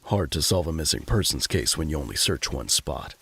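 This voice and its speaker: A man's voice: extremely low pitch, lively intonation, a little fast, gravelly, husky, heavily acted. A man playing a grim, terse vigilante detective in an audio drama, with a curt, commanding, brooding manner. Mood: terse, dry. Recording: loud music under the voice.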